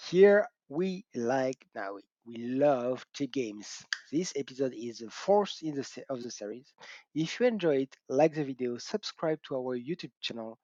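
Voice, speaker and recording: A middle-aged man with a French accent hosts his gaming channel in a chatty, self-mocking way, laughing and whooping between points. His voice is mellow and warm. He's recorded on a dull cheap mic in a dry room. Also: medium pitch, dry recording. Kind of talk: casual talk to camera.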